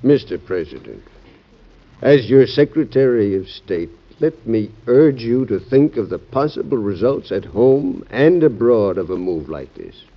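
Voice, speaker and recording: Animated, cautioning statesman. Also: on the low side, a little slow, heavily acted. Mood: urgent. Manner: emphatic, formal, argumentative. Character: urgent, cautioning male Secretary of State, political advisor. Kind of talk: classic American radio drama acting. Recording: dull band-limited old radio recording.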